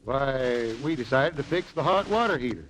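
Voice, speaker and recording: A man's voice: medium pitch, lively intonation, normal speed, a bit bright, close to an everyday voice. An actor playing a folksy, plainspoken and unruffled ex-army handyman in a vintage radio comedy. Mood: mild, puzzled. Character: folksy, easygoing ex-army mule driver and handyman. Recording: noisy vintage radio recording.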